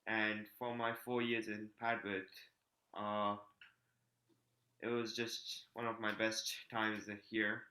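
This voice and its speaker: A teenage male student from Japan, answering calmly and a little haltingly with ums. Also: fairly low pitch. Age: late teens. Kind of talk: casual answers on a video call. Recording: roomy, echoey laptop audio.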